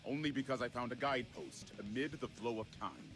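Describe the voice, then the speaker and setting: A man's voice: medium pitch, animated, brisk, lightly acted. A man voicing a brooding, dignified vampire samurai in a video game, grave and formal. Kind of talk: video game character acting. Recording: studio voice under loud guitar music.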